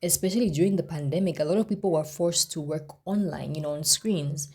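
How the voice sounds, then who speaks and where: A young woman from Zambia, chatting casually to camera in her vlog, expressive and pleading at times. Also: deep voice, brisk, slightly rough. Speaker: vlogger.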